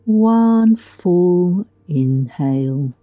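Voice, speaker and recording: Female meditation guide, lowish pitch, soothing and unhurried, gentle pauses, dull dry recording over music. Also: animated, no echo. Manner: soothing, gentle, deliberate delivery with long pauses. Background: background music.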